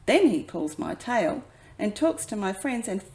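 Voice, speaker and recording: A woman acts out a little boy raccoon from a storybook, pitched well up and swooping with feeling. He sounds worried and jealous, pleading plaintively like a small hurt child. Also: big pitch swings, a little slow, darkish tone. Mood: grumbling.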